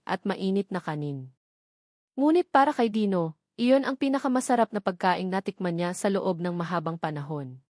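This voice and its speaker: A Filipino woman reads a dramatic story in a mid-range voice. Her phrasing is measured, with pauses placed for weight. The recording is dry, with very little room sound. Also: medium pitch, mellow, dry recording. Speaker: audiobook narrator. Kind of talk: scripted dramatic story narration.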